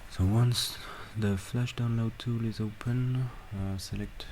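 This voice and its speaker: A man talking through a software tutorial step by step, with halting pauses between instructions. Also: mid-range voice, steady tone, slight background noise. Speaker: tutorial presenter. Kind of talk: screen-recorded software tutorial.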